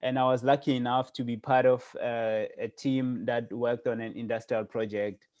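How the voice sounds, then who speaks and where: A man's voice: medium pitch, fairly even intonation, moderate pace, a little mellow, dull audio. A middle-aged man from South Africa giving an academic seminar over a video call, speaking hesitantly with many fillers and restarts. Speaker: guest lecturer.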